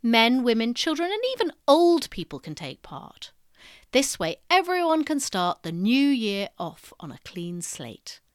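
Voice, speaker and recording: A woman reads scripted educational narration like a storyteller. Her voice sits fairly high and swoops with lively, varied intonation. The recording is dry and close, with very little room sound. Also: a little slow, no room sound. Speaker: presenter of an educational history podcast.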